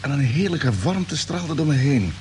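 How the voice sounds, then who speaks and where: A drowsy, bewildered space commander, noisy recording. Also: male voice, a little high, expressive, a little mellow. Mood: dreamy recollection. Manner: hesitant, halting, repeating words. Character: drowsy, bewildered male space expedition commander recalling a dream. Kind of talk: radio play acting. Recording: noisy, humming old radio drama recording.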